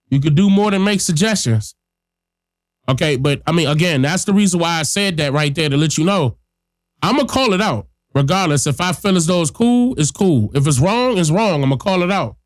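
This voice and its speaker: A man's voice: a little high, animated, normal speed, dry recording, studio quality. A man hosting a podcast, giving blunt, emphatic casual commentary straight to his listeners while getting over a cold that leaves him stuffy-nosed. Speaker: podcast host.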